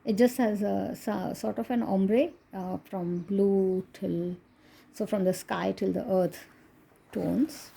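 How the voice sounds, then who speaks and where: A woman's voice: medium pitch, steady tone, takes it slowly, gravelly, dark tone, slightly rough. A woman from India with an Indian accent, whose first language is Konkani, chatting casually through a coloring book flip-through with frequent laughs. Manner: chatty, laughs often, self-deprecating asides.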